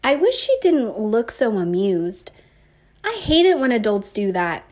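A woman with a somewhat high voice narrates a first-person story. She reads expressively, like a teacher, with deliberate emphasis on key phrases. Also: big pitch swings. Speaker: teacher reading a book aloud.

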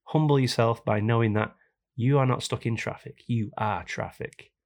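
A man hosting a casual podcast, hoarse and raspy from a cold, ranting jokily about things that annoy him. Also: medium pitch, moderate pace, darkish tone. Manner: jokey, complaining rants. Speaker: podcast host.

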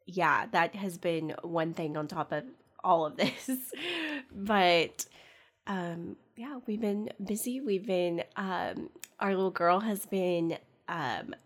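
A young woman hosting a casual solo podcast, chatty and rambling with lots of fillers and soft laughs, sounding congested from a cold. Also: lowish voice. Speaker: podcast host.

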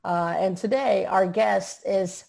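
Gravelly-voiced woman co-hosting an interview. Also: mid-range voice, steady tone, slow, a little mellow. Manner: hesitant, with fillers and restarts. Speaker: podcast co-host.

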